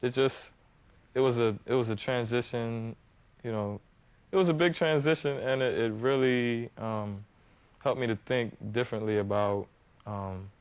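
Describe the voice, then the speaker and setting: A man in his twenties from Harlem, answering interview questions hesitantly, with many pauses and fillers. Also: medium pitch, fairly even intonation, clean tone. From Harlem, New York. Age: 20s. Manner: hesitant, many ums and you-knows, soft-spoken. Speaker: guest, young entrepreneur.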